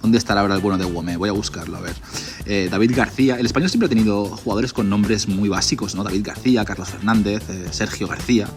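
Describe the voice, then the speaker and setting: A young man talks in a relaxed, rambling way, cursing now and then as he reminisces. His voice sits fairly low. Music plays clearly behind him throughout. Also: fast. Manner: nostalgic, rambling, swears freely. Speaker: sticker album collector host. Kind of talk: casual chat flipping through an old album.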